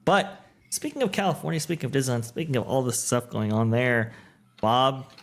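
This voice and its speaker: A man hosting a live podcast panel, upbeat and quick to laugh as he introduces guests. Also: medium pitch. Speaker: podcast host. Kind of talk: casual live-stream chat.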